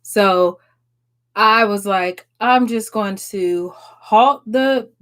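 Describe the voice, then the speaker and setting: A woman's voice: medium pitch, extremely slow, fairly bright tone. A woman, chatting frankly and casually on a live stream, swearing and laughing often. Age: 30s. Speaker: livestream host answering viewers.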